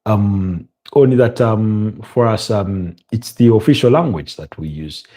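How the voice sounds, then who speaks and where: Mellow-voiced Ugandan man hosting a watch-along. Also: lowish voice, a little slow. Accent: Ugandan English. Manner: relaxed, conversational, many ums and uhs. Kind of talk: casual live watch-along chat and match commentary.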